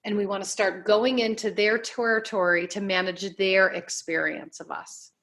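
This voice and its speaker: A woman being interviewed as a life coach, giving advice in deliberate, emphatic phrases with measured repetition. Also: mid-range voice, fairly even intonation, fairly bright tone.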